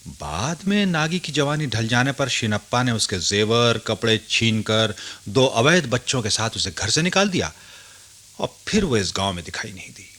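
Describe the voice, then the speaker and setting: A man's voice: on the low side, bright, forceful tone, full character voice. A man playing a reflective grown householder who narrates his memories in a radio play.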